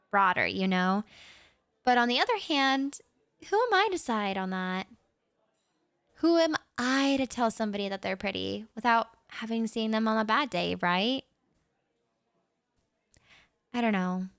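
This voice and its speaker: A woman's voice: on the high side, fairly bright tone, no echo, studio quality, heavily acted. A woman playing a shy, anxious young writer who rambles nervously with stammers and little laughs, talking straight to the listener in a roleplay audio.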